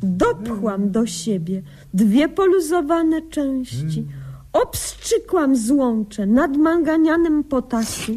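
An earnest young lady doctor from a radio comedy. Her voice is high and swoops widely, yet she takes her time over every pompous, stilted phrase, as if it were official business. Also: lively intonation, slow, mellow. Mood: officious, earnest. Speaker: narrator and doctor in a radio sketch. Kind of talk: comic radio sketch acting, mock-literary narration.

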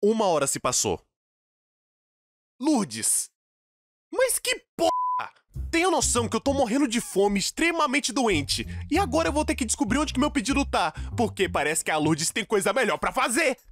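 A man's voice: very high voice, big pitch swings, moderate pace, fairly bright tone. A man with a Brazilian accent narrating a comedic scripted story in an exaggerated, dramatic, slangy way. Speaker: storytime animation narrator, dubbing in Portuguese.

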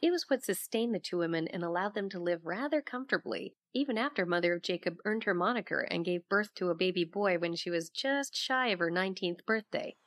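A woman playing an inquisitive investigative reporter, recounting her case as measured scripted audio drama narration. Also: fairly low pitch, lively intonation, a bit bright, no echo. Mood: measured, intrigued. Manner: measured, storytelling.

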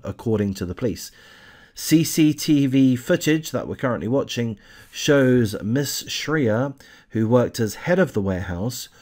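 A man's voice: on the low side, a little mellow. A man hosting a true crime channel, casually reading a news article aloud with lots of hesitant fillers and restarts, and giving blunt opinions.

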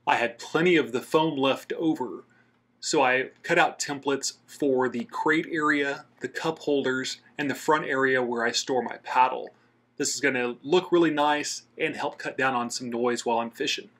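A man's voice: medium pitch, moderate pace, fairly bright tone. Man narrating a how-to voice-over. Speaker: host of a DIY kayak fishing channel.

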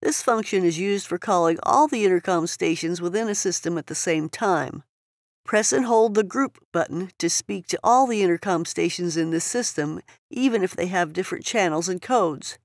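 A woman's voice: fairly low pitch, moderate pace, dry recording, studio quality. A woman reading scripted instructional narration for a product tutorial.

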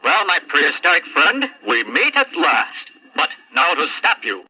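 An earnest scientist dad, determined and forceful, in a 1970s children's adventure record. The audio is dull and old. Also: male voice, a little high, wildly swooping pitch, measured pace, breathy edge, full character voice.

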